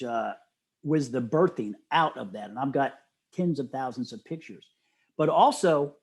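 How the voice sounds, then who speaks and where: A middle-aged man talking casually and enthusiastically as an interview guest, telling stories and quoting others with emphasis. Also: fairly high pitch. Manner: animated storyteller, often quoting others with emphasis.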